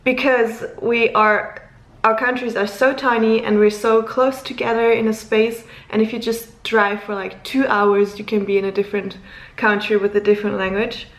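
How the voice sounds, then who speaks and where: A woman's voice: on the high side, flat delivery, normal speed, reverberant. A woman in her twenties from Luxembourg, German as her first language, chatting casually to camera as a vlogger, giggly and rambling.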